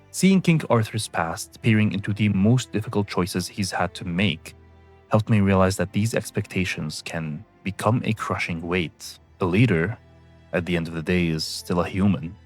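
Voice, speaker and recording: A young man from Syria narrating a scripted video essay in a measured, solemn way. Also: low-pitched.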